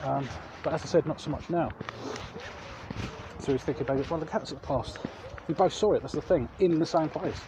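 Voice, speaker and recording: Man, slightly high voice, casual vlog chat, halting and self-correcting, recorded walking outdoors, clear traffic noise. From England. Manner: hesitant, self-correcting, repeats words. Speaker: vlog host. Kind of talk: casual rambling chat to viewers. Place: outdoors, walking along urban streets.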